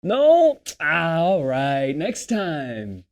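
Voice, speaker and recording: A man's voice: a little high, wildly swooping pitch, very slow, full character voice. A man acting an irritable, sarcastic weed dealer with slangy hustler patter at a table read. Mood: hustling, charming. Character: slick, irritable male street weed dealer.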